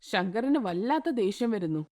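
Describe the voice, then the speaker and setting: A woman narrating an animated moral story in a scripted storybook style. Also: mid-range voice, normal speed. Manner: even, storytelling tone.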